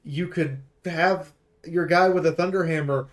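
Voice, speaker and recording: A man with a slightly high voice reviews a video game off the cuff. He rambles in an animated, slangy way and freely offers his opinions as he plays. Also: a little slow. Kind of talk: casual off-the-cuff game commentary.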